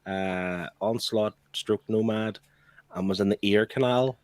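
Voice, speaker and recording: A man's voice: on the low side, fairly even intonation, unhurried, a little mellow, no room sound. A man co-hosting a podcast, talking casually in a book club discussion. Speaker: podcast co-host.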